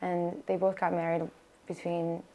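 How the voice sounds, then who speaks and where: A woman from the United States, raised speaking Hebrew, talks as a podcast guest. Her voice sits a little low, and she chats freely and loosely, full of fillers and restarts. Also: level tone, unhurried, warm tone, rough recording. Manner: chatty, many fillers and restarts. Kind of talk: casual interview talk.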